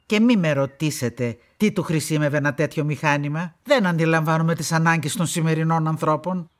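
A woman's voice: sits low, lightly acted. Curt, disapproving older woman played by an actress. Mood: disdainful. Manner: brusque, dismissive. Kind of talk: radio play acting.

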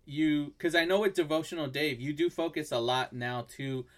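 A man with a slightly high voice hosts a casual podcast. He speaks haltingly and fills his sentences with "like" and "I mean" while sounding admiring. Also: fairly bright tone. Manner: admiring, halting, many fillers. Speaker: podcast host.

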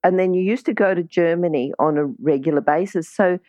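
A woman hosting a casual interview, chatty and quick to chime in with agreement. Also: on the low side, dark tone, no echo, muffled recording. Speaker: podcast interview host.

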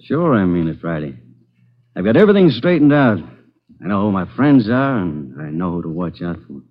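A brooding ex-convict. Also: male voice, sits low, sing-song, moderate pace. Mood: sullen. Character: bitter ex-convict man, ten years in prison. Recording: dull 1940s radio broadcast.

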